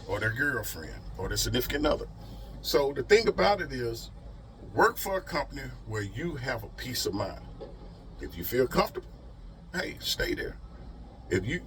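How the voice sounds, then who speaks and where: An animated man with a bright, forceful voice. He gives folksy, emphatic advice as a trucker vlogger, pressing his points with repeated questions. There is faint vehicle noise behind him. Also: on the high side. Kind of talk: motivational advice vlog, casual talk.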